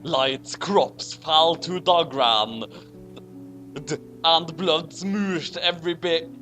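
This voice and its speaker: A man with a slightly high voice and a slow pace hosts a casual reading, with music playing faintly behind him. He comments off the cuff and trips over garbled words as he goes. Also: pushed and bright. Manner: stumbles over words while reading aloud. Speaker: host of a group fan-fiction reading. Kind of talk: casual commentary and halting read-aloud of garbled text. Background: background music.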